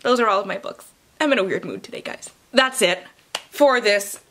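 A woman's voice: medium pitch, moderate pace, a bit bright, slight background noise. A young adult woman vlogging about books in a casual, playful way, often interrupting herself and swearing freely. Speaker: book vlogger. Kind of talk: casual vlog chat with dramatic blurb readings. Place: indoor room with bookshelves.

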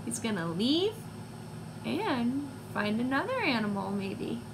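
A woman in her twenties reading a picture book to young children, expressive and teacherly, pausing to put questions to listeners. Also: fairly high pitch. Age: 20s. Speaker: read-aloud storyteller for young children. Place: small room at home, indoors. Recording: clear background noise, home recording.

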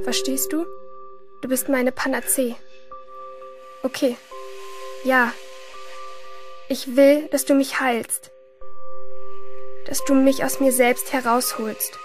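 Intense schoolgirl, high-pitched. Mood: urgent, possessive. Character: troubled, yearning teenage schoolgirl narrating her inner life. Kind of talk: first-person radio drama monologue. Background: music under the voice.

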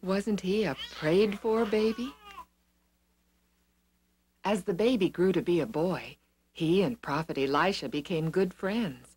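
Low-voiced woman telling children's stories. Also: unhurried, long pauses, a little mellow, a little hiss or hum. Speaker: storyteller. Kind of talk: scripted children's Bible story narration.

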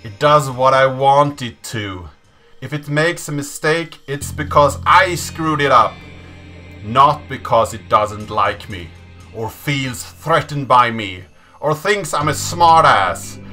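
A man in his thirties presenting in a deliberate, measured way, as in a dramatic reading. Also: medium pitch, animated, sharp tone, faint music behind. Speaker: host of a programming channel. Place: small indoor room at a desk.

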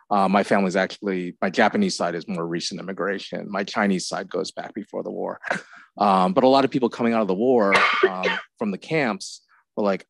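A man on a conference panel, speaking in a reflective, measured way into a handheld mic on stage. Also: low-pitched, dry recording. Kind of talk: panel discussion.

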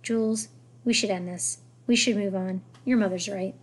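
A woman storyteller voices a mother who wants peace. She is gentle and quiet, conciliatory and near tears, with a husky softness. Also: mid-range voice, steady tone, moderate pace, lightly acted. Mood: weary, conciliatory. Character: conciliatory, tearful mother, ready to back down.

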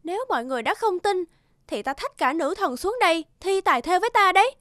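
A woman voicing an arrogant, boastful young peasant woman in an animated fairy tale, haughty and scornful. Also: extremely high pitch, fast talker, no room sound, studio quality. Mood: haughty, defiant.

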